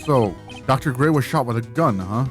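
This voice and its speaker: A woman's voice: very deep voice, lively intonation, moderate pace, soft background music. A woman voicing a young male attorney in a video game, dry, earnest and inquisitive. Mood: pensive narration. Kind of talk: video game dialogue and inner monologue.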